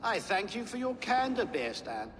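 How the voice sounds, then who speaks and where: Indignant lord: high, very animated, formal and sharp-tongued, game cutscene. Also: male voice, moderate pace. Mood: pointed. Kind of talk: video game acting. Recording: reverberant hall, faint background noise.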